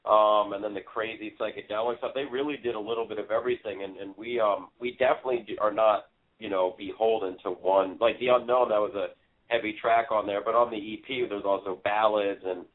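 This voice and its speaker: Low-voiced man musician on a phone line. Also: fairly even intonation, a little fast. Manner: earnest, rambling, many fillers. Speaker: band member, guest. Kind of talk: casual interview chat. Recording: tinny phone-line sound.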